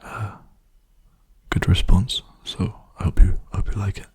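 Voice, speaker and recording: Young man, breathy whisper, mellow and dark, even intonation, soothing ASMR ramble. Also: deep voice, measured pace. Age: young adult. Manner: hushed, soothing. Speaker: ASMR video maker. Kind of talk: softly spoken ASMR rambling.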